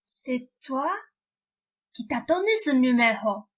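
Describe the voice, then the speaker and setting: A woman's voice: high voice, animated, takes it slowly, full character voice. A woman playing a naive, sulky young prince in a student French radio play. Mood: guarded, weary.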